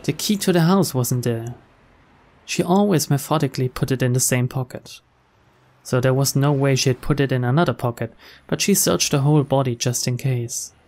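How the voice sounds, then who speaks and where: A man's voice: mid-range voice, moderate pace. A man reading a visual novel's story aloud as scripted narration. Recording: soft music behind the voice.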